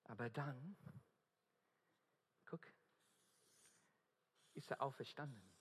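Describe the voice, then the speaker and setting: A man's voice: mid-range voice, lively intonation, takes it slowly, fairly bright tone, husky. A man with an Australian accent preaching an earnest, emphatic sermon in German, often searching for his words. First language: English. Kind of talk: evangelistic sermon. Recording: long reverb of a hall.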